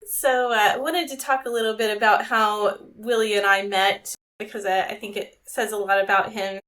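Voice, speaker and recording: A woman's voice: mid-range voice, fairly even intonation, moderate pace, fairly bright tone. Woman co-host, chatty and friendly. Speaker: podcast co-host. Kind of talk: podcast interview.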